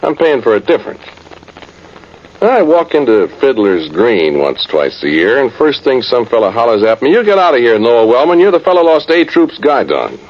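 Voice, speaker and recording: A man's voice: mid-range voice, huge pitch swings, moderate pace. An actor, a man, playing a folksy, rueful cavalry sergeant rambling through a radio drama monologue. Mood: rueful, animated. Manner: folksy, ungrammatical, rambling soldier talk. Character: folksy, rueful old-time cavalry sergeant, a ghostly soldier. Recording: muffled, hissy vintage radio recording.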